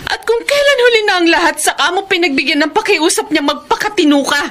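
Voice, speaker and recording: A scolding Filipino elder sister with a very high, piercing voice. She is hot-tempered and berates with melodramatic force. The delivery belongs to radio drama. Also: lively intonation, fairly quick, a bit bright. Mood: angry, berating.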